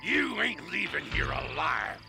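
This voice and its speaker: A man acting in a Western video game as a belligerent cowboy heckler, taunting in a gravelly, sharp American drawl. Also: a little high, big pitch swings, a little slow, over loud music, heavily acted. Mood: angry, threatening. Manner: taunting, aggressive, folksy drawl. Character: belligerent rowdy cowboy heckler in the Wild West.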